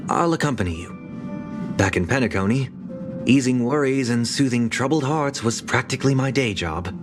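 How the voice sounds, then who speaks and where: An actor playing a composed, courteous gentleman in a video game, gently formal and reassuring. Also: mid-range voice, animated, moderate pace, music in the background, natural voice. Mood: calm, reassuring. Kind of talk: video game story dialogue.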